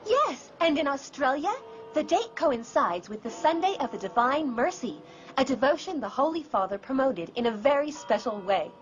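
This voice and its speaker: A woman's voice: sits high, big pitch swings, moderate pace. A woman voicing an earnest, compassionate female cartoon character with declamatory delivery. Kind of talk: dubbed cartoon dialogue. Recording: muffled lo-fi audio with loud music.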